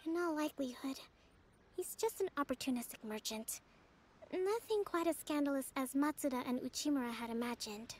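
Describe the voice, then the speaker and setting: A woman voicing a gentle, composed young female strategist in a video game, speaking in a measured, formal, thoughtful way. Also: sits high, slight background noise, natural voice. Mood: reasoning.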